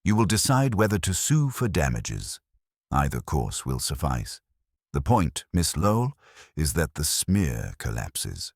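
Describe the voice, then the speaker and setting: A man acts out an imperious, heavyset genius detective in a mystery audiobook. The voice is extremely low and husky, pronouncing formal judgments with pompous precision and swinging emphasis. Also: huge pitch swings, measured pace, dry recording, clean studio sound, heavily acted. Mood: cool, imperious.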